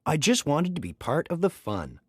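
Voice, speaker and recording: Man as eager young storybook train, earnest, childlike, lively swooping pitch, slightly husky. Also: moderate pace, no echo, clean studio sound, heavily acted. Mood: sheepish, apologetic. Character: eager young male tank engine, cheeky but apologetic.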